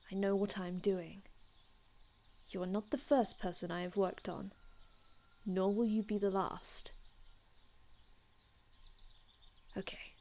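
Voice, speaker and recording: A woman playing an aloof, formal male vampire healer from another time in an ASMR roleplay, speaking in a measured, haughty, hushed manner with archaic phrasing. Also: mid-range voice, natural voice. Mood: calm, careful. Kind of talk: ASMR roleplay, scripted in-character monologue.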